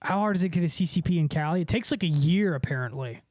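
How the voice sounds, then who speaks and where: A man's voice: a little high, lively intonation, quick pace, darkish tone, no echo, clean studio sound. A man livestreaming, chatting casually with viewers in a rambling, profane, slangy way. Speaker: livestreamer and musician.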